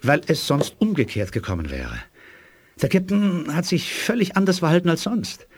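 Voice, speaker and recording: An actor playing a stern, suspicious secret service colonel in a German audio drama, with clipped, commanding speech. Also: male voice, fairly high pitch, big pitch swings, normal speed, slightly rough. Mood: grave, firm. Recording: noisy 1980s audio drama recording.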